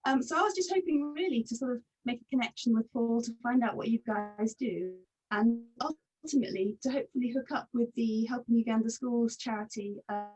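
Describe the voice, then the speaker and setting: A woman's voice: fairly high pitch, darkish tone, poor audio. A woman ear surgeon introducing herself and her charity work in a video call meeting, friendly and earnest.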